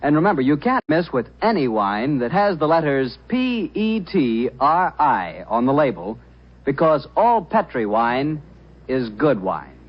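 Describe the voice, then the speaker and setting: A muffled vintage radio recording of an American man announcing. His delivery is highly animated, in the manner of a 1940s sponsor pitch. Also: a little slow. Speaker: announcer and host. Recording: dull, band-limited vintage radio recording.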